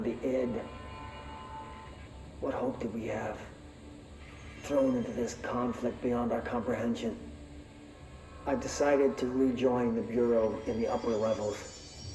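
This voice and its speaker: A man playing a solemn, devoted and disillusioned figure in a video game, delivering a grave, measured, reflective monologue. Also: fairly low pitch, noisy background, lightly acted. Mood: bitter, despairing. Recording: echoey room with music behind.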